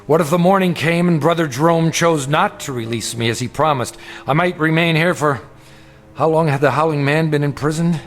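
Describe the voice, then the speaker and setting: A man's voice: medium pitch, close to an everyday voice. A man acting a weary, anxious and skeptical traveler in a radio drama, both narrating and speaking in a brooding, literate, dramatic way. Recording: music bed under the voice.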